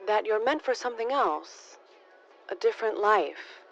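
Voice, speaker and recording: A woman's voice: medium pitch, animated, a little slow, gravelly, soft background music. Wistful young sister on a dull home video, played by a woman. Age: young adult.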